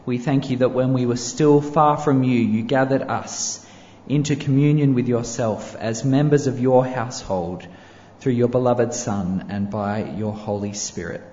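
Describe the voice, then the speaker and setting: A male pastor leading a public prayer in a measured, solemn cadence. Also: medium pitch, steady tone, warm tone. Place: church hall. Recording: echoey hall, dull sound, faint ambience.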